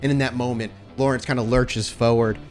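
A man's voice: mid-range voice, fairly even intonation, a little fast. A man running a tabletop roleplaying game, improvising scene narration in a conversational, affirming way. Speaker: game master. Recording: music behind the voice.

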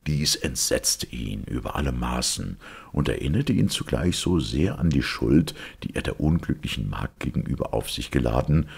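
A man with an extremely low, crackling voice narrates an old ghost tale. His delivery is solemn and measured, yet the intonation moves up and down in a lively way. The tone is heavily husky. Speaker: storyteller reading folk tales. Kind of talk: scripted narration of a ghost legend.

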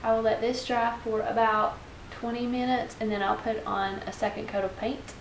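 A woman's voice: fairly high pitch, level tone, moderate pace, slight background noise, rough recording. A woman in her thirties giving casual how-to narration as the host of a craft tutorial.

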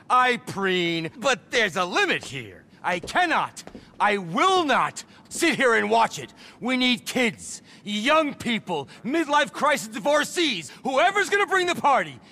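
A vain middle-aged nightclub impresario for a video game. He is flamboyant and frazzled, swinging widely in pitch with a sharp, forceful edge, and swears with theatrical relish. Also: heavily acted. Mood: ranting, grandiose. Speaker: nightclub owner. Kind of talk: video game cutscene acting.

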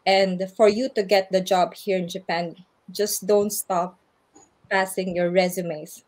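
A woman's voice: medium pitch, steady tone, measured pace, a little mellow. A woman with a Filipino accent speaks through a video call. She gives earnest, practical advice in a mid-range voice and laughs now and then between points. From Philippines. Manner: earnest, advising, laughs often. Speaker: guest speaker, English teacher in Japan. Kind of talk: online orientation interview answers.

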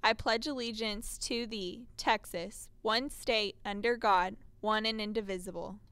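A young woman announcer reciting the pledges in a steady, measured way on a school broadcast. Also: medium pitch, clear, resonant tone, no echo. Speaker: student announcer. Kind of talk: formal announcement.

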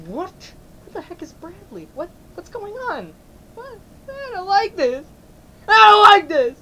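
A woman's voice: extremely high pitch, wildly swooping pitch, a little slow, a little hiss or hum, pitched above the performer's own voice, exaggerated voice. A woman voicing a panicky, flustered young man who stammers and repeats words in an amateur comedy role-play. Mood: confused, alarmed. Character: panicky, stammering, flustered boyfriend hiding behind a mask.

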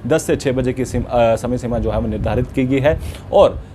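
A man's voice: medium pitch, normal speed. A man conducts a local news interview. His delivery is formal and wordy, recorded on a reporter's mic with slight background noise. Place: small indoor office, seated on a sofa. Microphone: handheld reporter mic. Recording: light background room noise.

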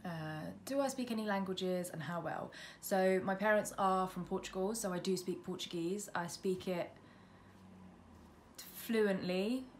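A woman's voice: medium pitch, unhurried. A woman in her mid-twenties with a British accent, chatting casually to camera as a vlogger in an informal, self-deprecating way. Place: indoors, bedroom at a window facing a road. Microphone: camera on windowsill, about arm's length. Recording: noisy room by a busy road. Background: noise, passing traffic.

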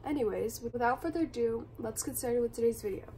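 Raspy sick young woman, chatty. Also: on the high side, fairly quick, dark tone, distant mic, a little hiss or hum. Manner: chatty, apologetic, giggly. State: hoarse from being sick. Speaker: vlogger. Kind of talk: casual unboxing chat. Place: carpeted bedroom floor. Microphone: phone camera filming from above.

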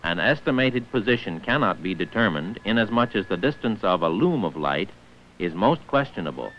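Low-voiced 1940s training film narrator, man. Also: lively intonation, normal speed. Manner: formal, precise enunciation of figures. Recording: muffled, noisy 1940s film soundtrack.

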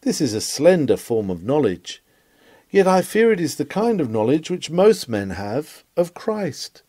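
A man's voice: on the high side, big pitch swings, unhurried, dark tone, no echo, studio quality. A man reads a scripted sermon aloud in an earnest, emphatic, rhetorical manner. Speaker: sermon reader.

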